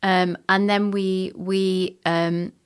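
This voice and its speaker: A woman's voice: mid-range voice, flat delivery, drawn-out words, clear, resonant tone. A middle-aged woman chatting casually as an interview guest about her work in sound production.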